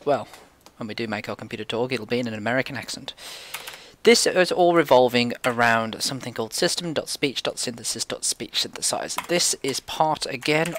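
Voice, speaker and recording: A man's voice: medium pitch, pushed and bright, a little hiss or hum. A man giving an informal screen-share tutorial, explaining things in a rambling, conversational way. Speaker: programming tutorial presenter.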